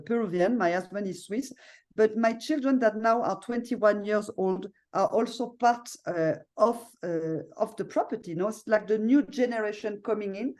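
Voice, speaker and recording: A woman's voice: medium pitch, darkish tone. A middle-aged woman with a French accent, presenting her property on a video call in a chatty way, often adding "no?" and "you know". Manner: chatty, frequent fillers and tag questions. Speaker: hotel owner presenting.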